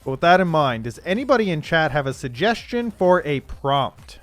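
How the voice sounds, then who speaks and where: A man hosting a casual game livestream, thinking aloud haltingly and improvising story prompts as he goes. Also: a little high, sing-song, soft background music. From Canada. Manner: halting, thinking aloud, frequent throat clearing. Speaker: livestream host.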